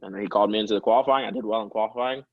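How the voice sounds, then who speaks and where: A man's voice: lowish voice, rapid-fire, dry recording, phone-quality sound. A man in his early twenties, a guest chatting casually and rambling with frequent laughs. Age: 20s. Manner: relaxed, rambling, laughs often. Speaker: guest, professional soccer player. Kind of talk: casual interview chat.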